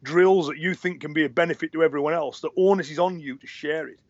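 A man's voice: on the high side, fast, no room sound. Interview guest, man, encouraging and earnest, restarts words while thinking; dry, dull-sounding recording. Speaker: interview guest, martial arts teacher. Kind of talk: casual conversation. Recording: dry, muffled audio.

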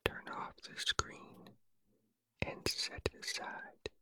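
A man hosting a sleep relaxation podcast in a breathy whisper, speaking in a gentle, soothing ASMR style. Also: slow. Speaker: podcast host and relaxation guide.

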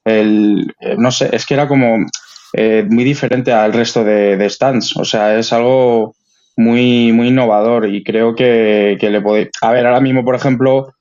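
A man's voice: medium pitch, fairly even intonation, normal speed, a little mellow. Male guest, Spain accent, colloquial casual chat, muffled lo-fi online audio. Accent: Spain Spanish. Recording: dull lo-fi online connection.

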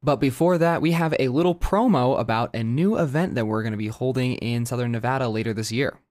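A man's voice: medium pitch, fairly quick, a little mellow, no room sound, studio quality. A man hosting a news podcast, talking in a friendly conversational way with his co-host. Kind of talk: casual podcast chat.

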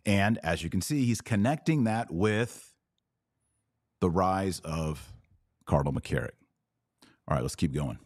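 Man, lowish pitch, dry sound, podcast commentary, emphatic, repeats points deliberately. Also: lively intonation, darkish tone, no echo. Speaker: podcast host. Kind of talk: informal commentary on a played clip.

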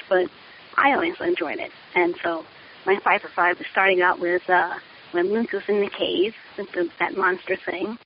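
Caller: woman, hesitant and informal, vocal fry, dull lo-fi recording with faint hiss. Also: medium pitch. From New York, USA. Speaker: listener calling in. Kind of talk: casual chat, audio feedback. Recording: muffled, hissy lo-fi voicemail sound.

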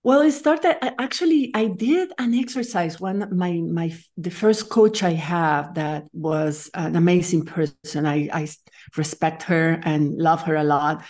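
Low-voiced Nicaraguan woman, thoughtful guest. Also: a little mellow. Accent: Nicaraguan Spanish. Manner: thoughtful, restarts and searches for words. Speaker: guest, leadership coach. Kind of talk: conversational interview.

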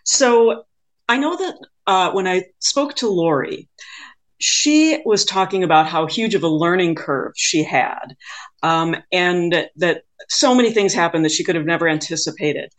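A woman interviewing in a conversational style, with thoughtful, halting phrasing. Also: lowish voice. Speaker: podcast interviewer.

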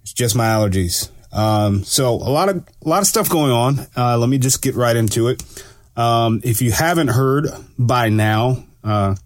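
A man hosting his own radio talk show, sounding a bit stuffy from allergies and talking in a relaxed, rambling way with lots of fillers. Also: medium pitch, dry recording, studio quality. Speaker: radio show host and law enforcement analyst. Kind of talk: casual solo radio talk.

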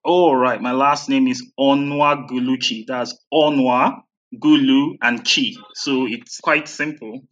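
A man with a Nigerian accent talks as a guest in an interview, answering conversationally. His voice sits at a middle pitch. The sound is muffled and lo-fi, like a remote connection. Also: fairly even intonation, takes it slowly, fairly bright tone. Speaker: interview guest, health and safety coordinator.

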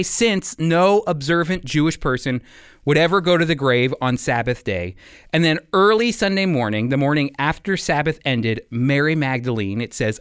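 A man with a fairly high, clean voice teaches scripture as a program host. He explains step by step and turns to the listener with rhetorical questions. The sound is dry, with little room around him. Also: smooth, dry recording. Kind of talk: expository Bible teaching.

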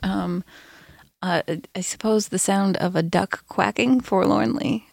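A woman's voice: mid-range voice, steady tone, measured pace, vocal fry, darkish tone, no room sound. A woman from the United States chatting casually as a guest improviser. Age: late 20s or older. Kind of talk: casual chat. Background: faint music.

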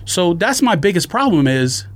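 Male podcast co-host, slightly high voice, very lively intonation, exasperated slangy storytelling. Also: normal speed. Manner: exasperated, slangy, acts out quoted exchanges. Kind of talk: casual podcast rant, telling a story.

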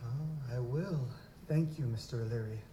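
A man's voice: medium pitch, moderate pace, warm tone, close to an everyday voice. An actor playing an anxious, grieving young nobleman in a live audio drama, speaking formally with a fretful stammer. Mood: fearful. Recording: echoey live stage, background noise.

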